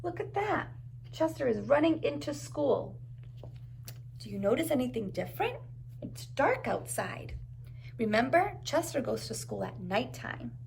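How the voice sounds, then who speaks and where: A woman's voice: high-pitched, sing-song, measured pace, vocal fry, a little mellow, a little hiss or hum. A woman reading a storybook aloud to young children like a preschool teacher, adding encouraging asides and simple questions.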